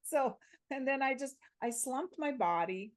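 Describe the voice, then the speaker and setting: A woman's voice: high-pitched, expressive, unhurried. Woman telling her story. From Slovakia. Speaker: interview guest, theater teacher. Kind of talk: conversational personal storytelling.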